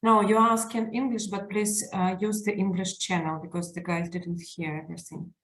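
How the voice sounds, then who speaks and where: A woman's voice: mid-range voice, level tone, normal speed, fairly bright tone. A woman on a video call speaking in an apologetic but direct manner. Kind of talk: technical interruption.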